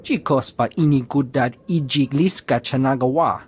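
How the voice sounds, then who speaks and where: A man voicing a blustering alien thug who speaks a guttural invented language in a video game, with a husky voice. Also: mid-range voice, normal speed, lightly acted. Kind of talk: video game character acting.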